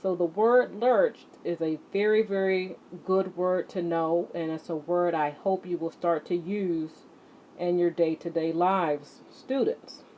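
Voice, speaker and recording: A woman leads a lesson for children at a very unhurried pace. She addresses her students directly, with deliberate emphasis on her instructions. The audio is dull, with noticeable noise behind her. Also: on the low side, steady tone. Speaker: teacher and exercise leader. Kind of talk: instructional lesson to children, read-along with warm-up exercises. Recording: muffled audio with clear background noise.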